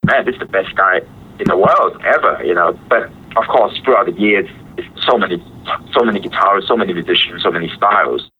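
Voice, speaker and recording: A low, husky male voice comes through a thin phone line. He is a guitarist from Hong Kong whose first language is Cantonese, and he talks in a relaxed, chatty way, laughing easily. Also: brisk. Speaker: guitarist guest. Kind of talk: phone interview. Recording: phone-line call audio.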